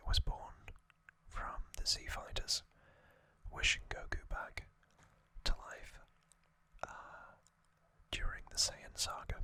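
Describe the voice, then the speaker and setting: A man hosting ASMR, explaining trivia informally in a soft, unhurried, breathy whisper. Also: dry recording. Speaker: ASMR video host.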